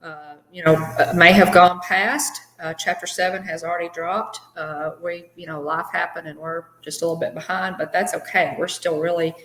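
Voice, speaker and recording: A woman with a low, deep voice hosts a casual live stream. She talks chattily, greets viewers by name and pads her sentences with fillers. The sound rings a little in a roomy space. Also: steady tone, moderate pace, few pauses, a bit bright. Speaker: co-host of a live fan show.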